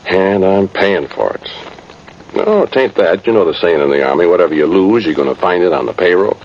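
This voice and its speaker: A man's voice: low-pitched, expressive, a little fast. An actor, a man, playing a folksy, rueful cavalry sergeant rambling through a radio drama monologue. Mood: rueful, animated. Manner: folksy, ungrammatical, rambling soldier talk. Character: folksy, rueful old-time cavalry sergeant, a ghostly soldier. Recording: muffled, hissy vintage radio recording.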